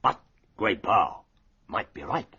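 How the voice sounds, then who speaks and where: Spymaster, formal but boisterous, pitch jumps all over, bit muffled old radio drama. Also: male voice, very animated. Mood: amused, teasing. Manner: ceremonious, deliberate phrasing with hearty outbursts. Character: boisterous, formal Japanese spy chief, shrewd genial host. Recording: muffled radio broadcast with faint noise.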